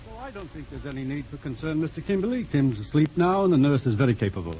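An actor playing a kindly, tactful and earnest doctor in a 1950s radio drama. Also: male voice, a little high, big pitch swings, fast, mellow. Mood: earnest, reassuring. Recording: dull, hissy old radio recording.

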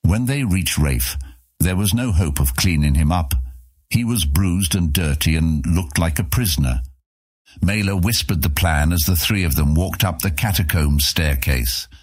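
A man narrating a scripted fantasy audiobook in a measured, dramatic manner. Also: low-pitched, breathy edge, dry recording, studio quality.

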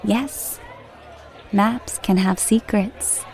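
A woman's voice: mid-range voice, fairly even intonation, drawn-out words, darkish tone, some chatter behind, poor audio. An actress playing a wary, insistent woman in a mystery audio drama. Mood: guarded. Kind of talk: audio drama trailer acting.

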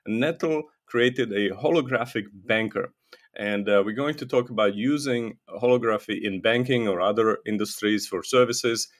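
A man with a fairly low voice hosting a podcast interview. He opens the show and asks his guests questions in a friendly, upbeat way. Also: normal speed. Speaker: podcast host.

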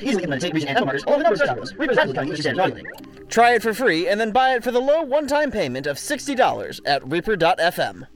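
A man reading a rapid-fire, lively advertising spiel. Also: sits high. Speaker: guest ad reader. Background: music bed under the read.

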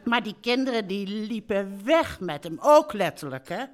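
A woman's voice: medium pitch, sing-song, moderate pace, close to an everyday voice. A woman playing a blithe, rambling elderly mother who runs a holiday park, in a comic radio play recorded live in a theatre. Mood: blithely explaining. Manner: rambling, breezily matter-of-fact, doting. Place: theatre stage before a live audience.

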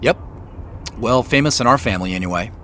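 Company boss on a mysterious quest, medium pitch, expressive swings, naturalistic radio drama read. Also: male voice, a little fast, slight background noise. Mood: intrigued. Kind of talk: naturalistic audio drama dialogue and narration.

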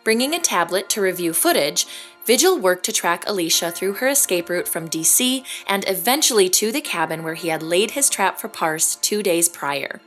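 A woman narrating an audio drama in an even, measured way. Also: medium pitch.

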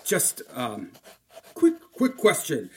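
A self-styled invincible warrior for a comic fantasy audio drama. The voice sits low and swoops around a lot. The delivery is grand and pompous, with insecurity showing through. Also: female voice, huge pitch swings, slow pace, a little mellow, slight background noise, full character voice. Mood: nervous, hesitant. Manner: grandiose third-person boasting that slips into nervous hesitation.

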